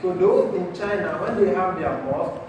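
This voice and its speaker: A man's voice: sits high, moderate pace. A man from Ghana with a Ghanaian accent, patiently explaining things to visiting students as a mosque guide. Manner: patient, explaining, asks "okay?" and "eh?". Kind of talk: informal teaching talk. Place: large mosque hall. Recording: echoey hall from far off, with noise.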